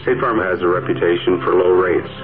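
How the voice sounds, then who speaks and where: A man's voice: low voice, fairly even intonation, brisk. A man speaking as an insurance agent, giving a testimonial for a radio commercial. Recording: muffled old radio ad with music.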